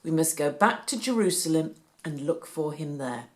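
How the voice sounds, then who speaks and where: A woman's voice: low-pitched, animated, moderate pace. A woman reading a storybook, voicing an earnest, worried father who is searching for his son. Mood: urgent.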